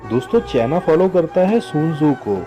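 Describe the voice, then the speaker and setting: A man's voice: a little high, very animated, fairly quick, muffled recording. A man with a Pakistani accent delivering scripted news commentary as a channel host, emphatically addressing his viewers. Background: music bed.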